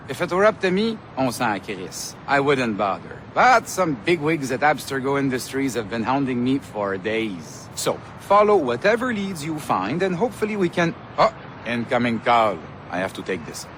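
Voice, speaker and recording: An actor playing a breezy, flippant corporate boss in a game, informal, with a Québécois French accent. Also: male voice, medium pitch, a little hiss or hum, lightly acted, rough recording. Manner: smooth-talking, informal, slips into French. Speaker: company executive. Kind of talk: scripted game dialogue in casual office chat.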